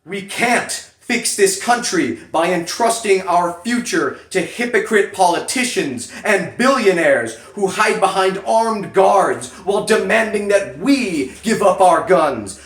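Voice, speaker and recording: A man giving a solemn, theatrical dramatic reading with emphatic pauses. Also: sits high, fairly bright tone. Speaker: reader performing a letter. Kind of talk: dramatic reading of a political fundraising letter. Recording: echoey room.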